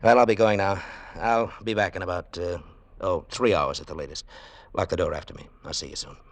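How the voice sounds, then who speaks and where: An actor in 1940s radio style playing a wry, world-weary adventurer, terse and measured, on a noisy vintage recording. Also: male voice, low-pitched, fairly even intonation, no echo. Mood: wary. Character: hard-boiled, wry male adventurer narrating his tale. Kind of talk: 1940s radio acting and first-person narration.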